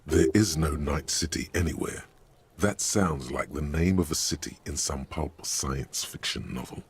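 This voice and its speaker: A man voicing the grave, knowing inner voice of a detective's mind, in measured scripted video game narration. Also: extremely low pitch, husky, exaggerated voice. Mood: dry.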